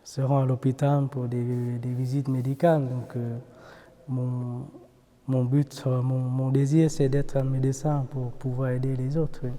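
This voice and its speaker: Soft-spoken hesitant monk. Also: male voice, medium pitch, little pitch movement, a little slow, in a large hall. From Vietnam. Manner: hesitant, many fillers and restarts. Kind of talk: documentary interview.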